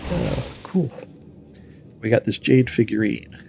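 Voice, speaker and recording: A man's voice: mid-range voice, lively intonation, takes it slowly, vocal fry, lo-fi sound, background noise. A man with a stuffy, nasal voice from a cold, casually explaining his moves while streaming a game and thinking aloud with many fillers. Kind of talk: casual gameplay commentary.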